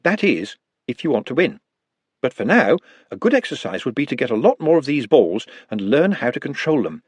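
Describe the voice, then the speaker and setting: A timid but highly articulate little man, as a male narrator puts him on, formal and precise with a posh air. His husky voice swings widely in pitch, recorded dry and close. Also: big pitch swings, a little fast, dry recording. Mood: lecturing.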